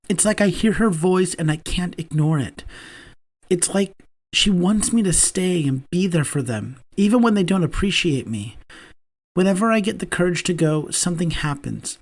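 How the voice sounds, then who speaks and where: Male narrator doing a gentle, timid young man, raised pitch, soft and shaky.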